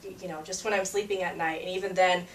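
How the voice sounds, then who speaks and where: A woman's voice: fairly low pitch, fairly even intonation, fast, a bit bright, slight background noise. A young woman from Peru, a singer-songwriter, chatting in an interview with frequent fillers and laughter. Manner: chatty, laughs often, many fillers and restarts. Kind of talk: casual interview chat. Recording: roomy, distant mic, faint ambience.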